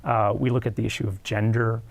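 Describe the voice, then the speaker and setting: A man's voice: on the low side, flat delivery, fairly quick, vocal fry. A man, a film studies professor in his thirties, answering interview questions in a hesitant way with many fillers and restarts. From United States. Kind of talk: academic interview.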